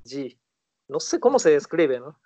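Man, class helper, hesitant, repeats himself, slightly high voice, muffled audio. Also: sing-song, measured pace, mellow. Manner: hesitant, answers with fillers and repeats phrases. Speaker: native-speaker guest in class.

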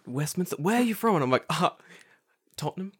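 A man with a London accent hosting a podcast, excitable and casual, swearing and laughing often. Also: fairly high pitch, fast, slightly rough. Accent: London English. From North London, England. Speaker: podcast host. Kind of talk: casual chat.

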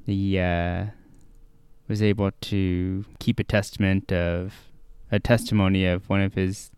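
A man co-hosting a podcast who discusses scripture slowly and hesitantly, pausing often with fillers. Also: low voice, level tone, a little mellow, clean tone, no echo. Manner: slow, hesitant, many fillers. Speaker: podcast co-host.

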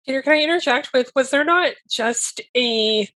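A woman's voice: high-pitched, normal speed, pushed and bright. Chatty, self-deprecating woman. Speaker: podcast co-host. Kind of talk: casual chat.

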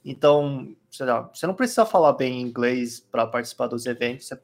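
A man chatting calmly on a podcast, explaining card game rules with many fillers. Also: mid-range voice, fast talker. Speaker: card game player guest. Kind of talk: casual podcast chat.

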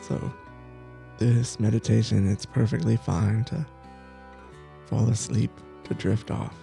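Slow gravelly-voiced man guiding sleep meditation. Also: lowish voice, little pitch movement, soft background music. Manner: slow, soft, soothing guidance with repeated phrases. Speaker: meditation teacher.

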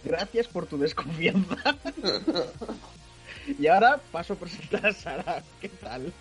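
A man's voice: fairly high pitch, animated, a little slow, soft background music, poor audio. A man from hosting a casual gaming podcast, rambling a little and laughing along with his co-hosts. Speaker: podcast host.

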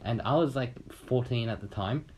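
A man's voice: medium pitch, normal speed, darkish tone, husky, low-quality recording. A young man from Australia telling a long, rambling story in casual chat on a podcast, swearing casually and acting out what people said.

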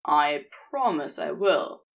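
Casting: devoted male lover for audio fiction, patient and protective, dropped pitch, firm intimate reassurance woman performs him. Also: lively intonation, slow, gravelly, full character voice. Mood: patient, sincere.

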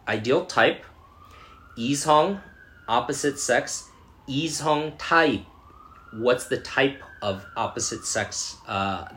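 A man casually commenting as a language learner, pausing often and sounding out foreign words slowly. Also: mid-range voice, fairly bright tone, a little hiss or hum. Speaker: language learner reacting to a show.

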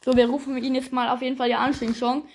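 A woman's voice: high voice, flat delivery, fast. A woman improvising a homemade audio play, chatting casually and hesitantly straight to the listeners.

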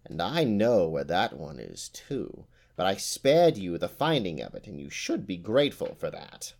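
A man's voice: low voice, big pitch swings, measured pace, darkish tone, exaggerated voice. A man voicing an old, boastful and fierce female witch who talks with a sneer. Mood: gloating. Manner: sneering, snarling at times.

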